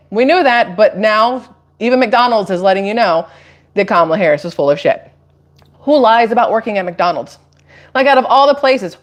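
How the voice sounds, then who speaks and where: Chatty American woman live streaming. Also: mid-range voice. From Atlanta, United States. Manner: chatty, rambling, emphatic asides. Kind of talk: casual political commentary to viewers.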